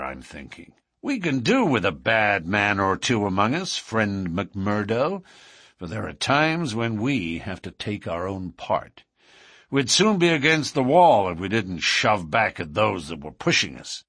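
A big, menacing lodge boss from an audiobook, acted out by a man. He is blunt and threatening, with a husky, low-set voice that moves slowly and swings widely in pitch. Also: big pitch swings, fairly bright tone, no room sound, studio quality, exaggerated voice. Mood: amused, approving. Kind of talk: audiobook character dialogue.

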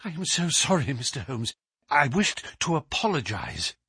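A man's voice: medium pitch, very animated, moderate pace, bright, forceful tone, breathy edge, dry recording, studio quality, exaggerated voice. A man voicing an earnest, anxious, deferential assistant in a storybook reading, respectful and pleading. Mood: apologetic, worried. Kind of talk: dramatised audiobook reading.

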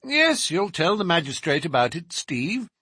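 A man's voice: fairly high pitch, big pitch swings, moderate pace, pushed and bright, husky, no echo, voice pushed up, exaggerated voice. A man doing a cool ironic detective. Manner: cool, dry, mocking.

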